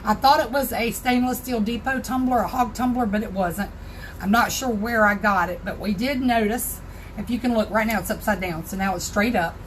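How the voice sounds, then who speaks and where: A woman with a Southern US accent and a hoarse, raspy voice, chatting casually through a live crafting tutorial from her home craft room and greeting viewers by name. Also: mid-range voice, normal speed, slight background noise, poor audio.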